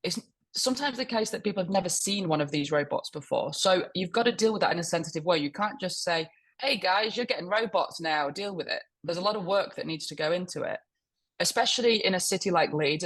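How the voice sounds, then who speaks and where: A woman with a croaky voice from a cold, speaking fluently on a webinar panel about her public affairs work. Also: on the low side. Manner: fluent, corporate explaining. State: hoarse with a cold.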